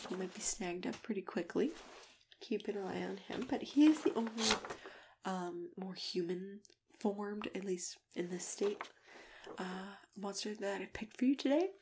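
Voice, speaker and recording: Casting: female ASMR role-player, gentle and hushed, half whispered, mid-range pitch, unhurried delivery with murmured acknowledgements. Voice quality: soft, often dropping into a whisper. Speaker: ASMR performer role-playing a matchmaker. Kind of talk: soft-spoken ASMR role-play chat. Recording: clear background noise with keyboard typing. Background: typing and clicking.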